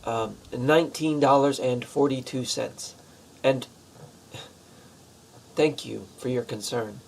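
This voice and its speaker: A man's voice: medium pitch, slow, vocal fry, a little mellow, slight background noise. A man acting as a nervous, polite pizza shop manager in a radio play, speaking hesitantly and warily. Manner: hesitant, wary.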